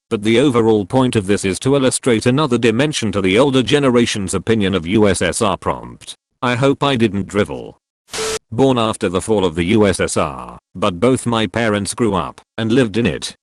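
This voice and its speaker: A man narrating, reading forum posts aloud in a steady, even delivery. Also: fairly low pitch, dry recording, studio quality.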